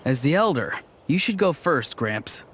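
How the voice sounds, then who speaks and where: A man's voice: a little high, very animated, unhurried, background noise. A man playing an earnest, committed young adventurer in a video game, matter-of-fact and sincere. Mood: playful. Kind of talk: animated video game acting. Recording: faint game music under the voice.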